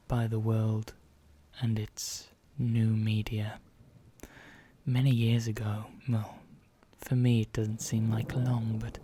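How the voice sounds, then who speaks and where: Ancient vampire, male actor, ASMR roleplay: low, very slow and hushed, calmly menacing, condescending to mortals, formal phrasing. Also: a little hiss or hum. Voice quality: soft, breathy, often dropping into whisper. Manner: slow, deliberate, pausing, formal.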